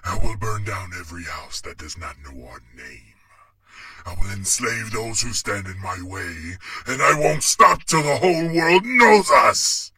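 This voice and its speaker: Cloaked monster tyrant for audio drama: vengeful, harsh and forceful, raspy, dry close sound. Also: male voice, lowish voice, no echo, exaggerated voice. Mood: menacing, vengeful. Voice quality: raspy, gravelly. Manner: menacing, forceful, drawn-out delivery.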